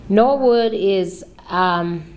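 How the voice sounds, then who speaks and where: A woman in her sixties from Kentucky, answering oral history questions in a hesitant way, with many pauses and restarts. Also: mid-range voice, a little mellow. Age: 60s. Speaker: interviewee, neighborhood association president.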